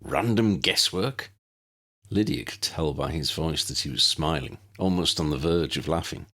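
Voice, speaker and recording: A man's voice: very deep voice, moderate pace, pushed and bright. A man reading scripted story narration as an audiobook narrator.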